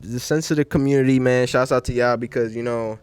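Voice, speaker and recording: A man's voice: mid-range voice, steady tone, fairly quick, darkish tone, smooth. A young man on a podcast, chatting casually, rambling with lots of "like" and "you know". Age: young adult. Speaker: podcast host. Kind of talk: casual chat.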